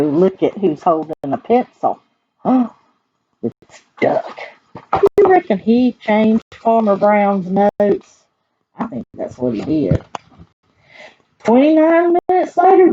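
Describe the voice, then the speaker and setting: A woman with a Southern US accent reads a picture book to children with a very lively, swinging pitch. She takes her time and chats in folksy asides. The recording is a little muffled. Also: a little hiss or hum. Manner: chatty, folksy, asking the listeners questions. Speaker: library storyteller.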